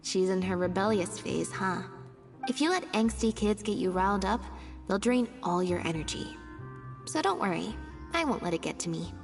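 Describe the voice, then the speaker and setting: A woman's voice: mid-range voice, expressive, moderate pace, gravelly, soft background music. A woman voicing a cool-headed, wry young woman in a video game, laid-back and dry in manner. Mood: reassuring.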